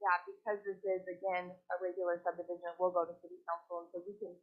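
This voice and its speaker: Female city staffer, slightly low voice, explanatory and conciliatory, muffled lo-fi meeting recording. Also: completely flat delivery, quick pace. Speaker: city planning staff member. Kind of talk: explaining rules at a public meeting. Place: public meeting room, on a microphone. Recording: dull lo-fi meeting audio.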